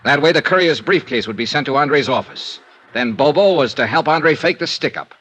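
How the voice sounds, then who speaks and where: A man's voice: a little high, expressive, fairly quick, a bit bright, slightly rough. An actor playing a cynical, world-weary male insurance investigator in a terse, sardonic 1950s radio noir style. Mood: cold, sardonic. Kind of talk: 1950s radio noir acting and first-person narration. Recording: noisy old radio drama recording.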